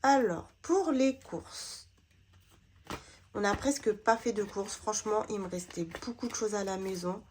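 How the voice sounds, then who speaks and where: A woman's voice: mid-range voice, a little slow, a little mellow, a little hiss or hum. A woman, slightly stuffy from a cold, chatting casually while sorting her budget envelopes, with lots of hesitant fillers. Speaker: budgeting vlogger.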